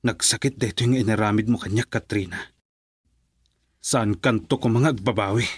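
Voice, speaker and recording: An actor playing an earnest, heartbroken poor young farmer in an Ilocano Filipino radio drama. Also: male voice, on the low side, normal speed, breathy edge, no echo. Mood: hurt, pleading.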